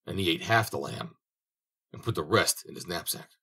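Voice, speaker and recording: A man's voice: low voice, moderate pace, slightly rough. A man reading a fairy tale aloud as an audiobook narrator, telling it expressively. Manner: expressive storytelling. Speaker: audiobook reader.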